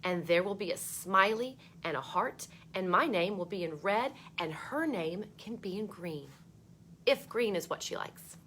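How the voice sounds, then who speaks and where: A woman reading a picture book aloud for children, expressive, with chatty asides spoken straight to young listeners. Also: mid-range voice, a little slow, slight background noise.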